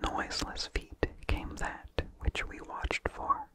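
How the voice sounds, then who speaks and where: A person reading a vintage horror story as ASMR in a soft, hushed, deliberate whisper with no voice behind it. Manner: slow, hushed, deliberate delivery. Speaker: narrator.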